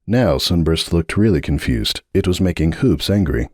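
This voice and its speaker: A man narrating a story in an expressive dramatic audiobook reading. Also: sits low, moderate pace, breathy edge, no room sound, clean studio sound.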